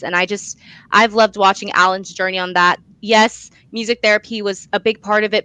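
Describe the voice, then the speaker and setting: A woman's voice: mid-range voice, fairly bright tone, no echo, rough recording. A young woman talking as an interview guest about her creative work. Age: young adult. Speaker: guest, playwright.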